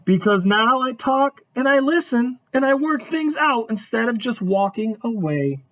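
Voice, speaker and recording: A man's voice: very high voice, measured pace, full character voice. A man voicing a cheerful reformed grape. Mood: reflective. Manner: earnest, lively storybook narration.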